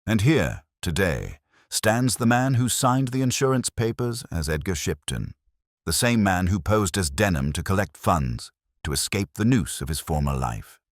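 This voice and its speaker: A male narrator acts out a cool, incisive Victorian detective from a storybook mystery. His voice is very low, yet it rises and falls in animated sweeps. He speaks formally and deliberately, as one who commands the room. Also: no room sound, clean studio sound. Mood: pressing, incisive. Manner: deliberate, formal, accusatory speech.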